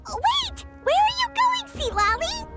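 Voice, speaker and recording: A woman voicing a tiny, excitable fairy girl sidekick in a video game, with a childlike squeak and exclamatory talk. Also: a little slow, heavily acted. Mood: excited. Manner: refers to itself in third person, exclamatory. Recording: music behind the voice.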